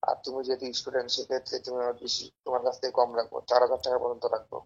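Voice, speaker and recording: A Bangladeshi man making an informal phone sales pitch in a pushy, persuasive, repetitive way. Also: monotone, racing, breathy edge, lo-fi sound. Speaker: caller pitching a service as a tout.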